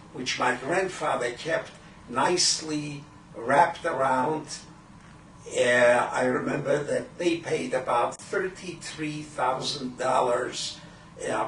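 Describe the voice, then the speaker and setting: An elderly man from Poland in his eighties, a Yiddish speaker, recalling his early life in a halting, deliberate way for an oral history. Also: medium pitch, fairly bright tone. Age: 80s. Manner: halting, deliberate, frequent throat clearing. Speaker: Holocaust survivor giving testimony. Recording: roomy, distant microphone with faint noise.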